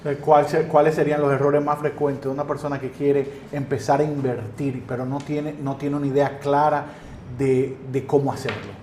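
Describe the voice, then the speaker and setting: A man's voice: medium pitch, level tone, normal speed, darkish tone. A man hosting an interview, asking follow-up questions and adding brief agreeing remarks. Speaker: interview host. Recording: roomy studio with faint noise.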